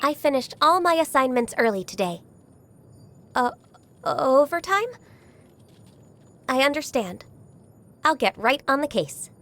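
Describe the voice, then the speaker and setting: A woman playing a shy, diligent half-human young secretary in a video game, soft-spoken and polite. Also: high voice, big pitch swings, a little slow, long pauses, exaggerated voice. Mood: polite, dutiful. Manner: soft-spoken, polite, hesitant when flustered. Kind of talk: video game character voice lines.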